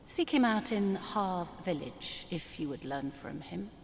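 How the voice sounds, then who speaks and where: An actress playing a serene, knowing oracle in a fantasy video game, speaking in solemn, measured, archaic English. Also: female voice, on the low side, lively intonation. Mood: solemn, mystical. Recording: long reverb, faint noise.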